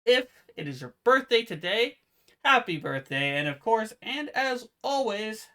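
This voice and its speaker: A man co-hosting a casual podcast, with a naturally quiet voice, explaining card game rules in a relaxed, meandering way. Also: high voice, measured pace. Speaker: podcast co-host. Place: home room with fan noise removed by software.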